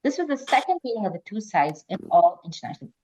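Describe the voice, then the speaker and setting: A woman's voice: mid-range voice, very animated, fast, noisy background. A woman hosting a quiz, reading questions aloud and moderating informally. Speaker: quiz host. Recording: dull lo-fi online call audio.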